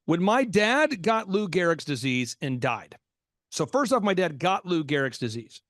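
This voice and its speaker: High-voiced, animated male podcast host. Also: a little slow, no room sound, clean studio sound. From Oklahoma. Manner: animated, preachy, repeats punchlines with sudden shouts. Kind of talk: energetic business podcast talk.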